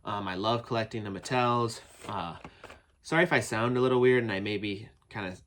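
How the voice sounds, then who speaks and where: A man's voice: on the low side, steady tone, normal speed, a bit bright, a little hiss or hum. A man with a cold, sounding nasal and a bit phlegmy, giving a rambling, eager unboxing review of action figures from his toy building. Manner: rambling and chatty, many restarts, eager.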